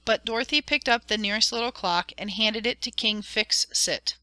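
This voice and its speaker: A woman narrating an audiobook with a clear, expressive reading. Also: fairly high pitch, normal speed, dry recording. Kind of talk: storybook narration.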